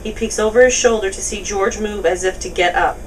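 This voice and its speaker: A woman's voice: medium pitch, steady tone, brisk. A woman reading stage directions as scripted narration at a table read. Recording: distant mic, noisy room.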